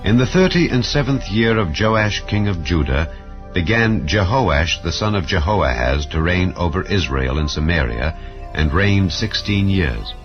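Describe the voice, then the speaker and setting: Deep-voiced man reading scripture solemnly. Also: lively intonation. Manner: measured, solemn reading with rising and falling phrases. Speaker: Bible reader. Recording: dull lo-fi sound with soft background music.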